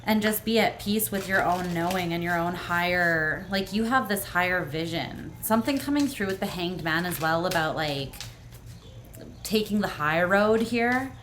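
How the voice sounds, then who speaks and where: A woman with a fairly low voice gives a tarot reading straight to the viewer. She talks in an earnest, reassuring way, full of "like" and "um". Her voice is going hoarse, so she keeps clearing her throat. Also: moderate pace, a bit bright, a little hiss or hum. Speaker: tarot reader. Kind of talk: pick-a-card tarot reading, speaking to the viewer.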